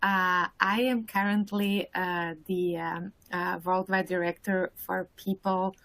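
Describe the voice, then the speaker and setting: A woman's voice: lowish voice, flat delivery, slow, a bit bright, dry recording. A woman in her forties from Slovakia with a Slovak accent, introducing herself on a panel with many hesitant fillers. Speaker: panelist, corporate talent director.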